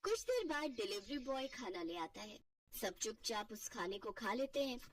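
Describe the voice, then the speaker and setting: A woman's voice: on the high side, big pitch swings, moderate pace, far from the mic, a little hiss or hum. A woman telling a scripted family cartoon story as narrator.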